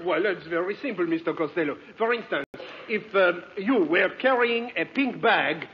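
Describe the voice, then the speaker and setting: A man's voice: high voice, animated, moderate pace. An actor playing an affected, fussy male fashion designer in a 1940s radio comedy. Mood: fussy, explaining. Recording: dull, noisy 1940s radio broadcast.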